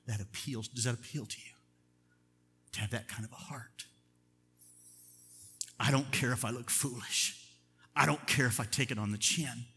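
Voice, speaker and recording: A middle-aged man, a pastor, preaching a sermon in an earnest, measured way, slowing down to stress key words. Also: lowish voice, sharp tone, breathy edge. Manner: earnest, measured preaching with deliberate slow emphasis. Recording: long reverb of a large hall.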